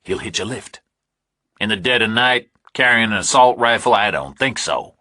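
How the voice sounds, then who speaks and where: A man voicing an angry, sardonic, cold-blooded villain in an audiobook, speaking with deliberate threat. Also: lowish voice, animated, sharp tone, dry recording, clean studio sound, pitched above the performer's own voice. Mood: cold menace. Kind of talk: audiobook character dialogue.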